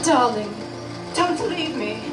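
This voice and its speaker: A woman's voice: fairly high pitch, animated, slow pace. A woman playing a heartbroken, clinging heroine, pleading in an overwrought parody of film melodrama. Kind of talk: melodrama parody radio acting. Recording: distant, echoing stage with loud music.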